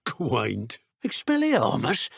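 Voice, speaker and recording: Gravelly, sneering English dark wizard. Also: male voice, medium pitch, huge pitch swings, slow pace, dry recording, clean studio sound, heavily acted. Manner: taunting, working-class colloquial speech. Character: menacing, sneering male dark wizard thug. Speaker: video game voice actor. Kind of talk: video game combat barks and enemy dialogue.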